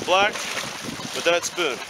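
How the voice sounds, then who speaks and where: Man, laconic, short remarks, outdoors on a lakeshore, loud wind and waves. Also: a little high, takes it slowly. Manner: brief, laconic comments. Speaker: fishing companion. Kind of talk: casual remarks while fishing. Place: rocky lakeshore outdoors, windy.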